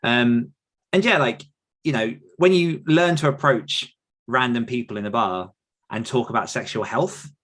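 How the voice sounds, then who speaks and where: A man's voice: mid-range voice, unhurried, a bit bright. A British man in his thirties talking casually as a podcast guest, chatty and anecdotal in manner. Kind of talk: casual conversational interview.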